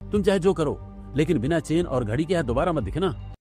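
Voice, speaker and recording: A man plays a bullying cartoon husband, curt and threatening. The voice is pushed higher as he makes hard demands, with light music behind. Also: expressive, brisk, a little mellow, close to an everyday voice. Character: demanding, harsh husband bullying his young wife.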